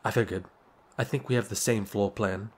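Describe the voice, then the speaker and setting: A man's voice: lowish voice, fairly even intonation, moderate pace, natural voice. A young man playing a shy, awkward, apologetic neighbor in an ASMR roleplay, speaking hesitantly. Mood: sheepish, apologetic.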